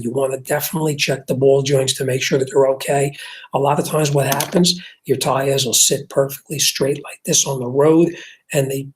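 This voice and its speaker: A middle-aged man with a New Jersey accent chats casually on a livestream, answering car questions from viewers. His voice is a little husky, and he talks in an easygoing way full of fillers and restarts. His pitch sits in a normal range for a man. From Jersey City, New Jersey. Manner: conversational, reads chat comments aloud, frequent fillers and restarts. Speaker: host and auto mechanic answering viewer questions.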